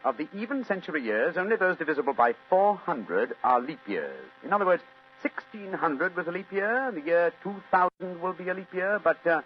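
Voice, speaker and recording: An actor playing a composed gentleman detective in an old-time radio drama, speaking with precise, courteous, analytical phrasing. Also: high-pitched, very animated, moderate pace, a little hiss or hum. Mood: regretful, precise. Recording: dull vintage radio recording.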